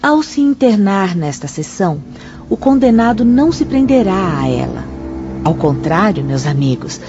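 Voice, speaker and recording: An actress playing a composed, kindly spirit guide who explains things formally and in measured words, in a radio drama. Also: female voice, mid-range voice, natural voice. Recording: dull, lo-fi radio drama audio. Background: soft music.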